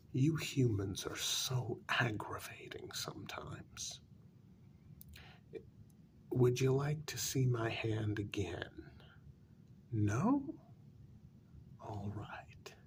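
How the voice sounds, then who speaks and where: A man playing a jaded, irritable vampire in a soft-spoken ASMR role-play, with weary sarcasm and a gravelly, half-whispered voice. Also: fairly low pitch. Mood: exasperated. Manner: slow, deliberate, weary sarcasm. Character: jaded, irritable male vampire hiding a hideous face.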